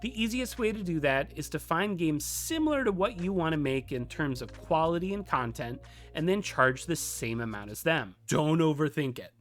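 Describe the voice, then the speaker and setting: A tutorial narrator with a mid-pitched, animated voice that moves freely up and down. The delivery is scripted yet conversational and lightly playful, with music playing behind it. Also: normal speed. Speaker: video tutorial presenter.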